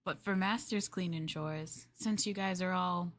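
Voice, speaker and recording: A woman livestreamer in casual roleplay chat, playing a polite, chatty maid and often dropping into soft, breathy near-whispers. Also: fairly low pitch, steady tone, measured pace, a little hiss or hum. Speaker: VTuber livestream host.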